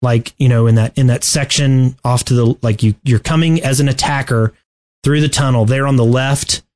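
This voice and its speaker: A man co-hosting a casual video game discussion, choosing his words in a halting, deliberate way. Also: medium pitch, steady tone, darkish tone. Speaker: podcast co-host.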